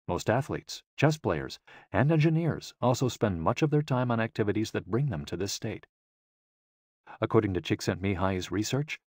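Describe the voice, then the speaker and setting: A man reading a scripted audiobook in a measured, clearly phrased, explanatory manner. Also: lowish voice, no echo, clean studio sound. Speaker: audiobook narrator.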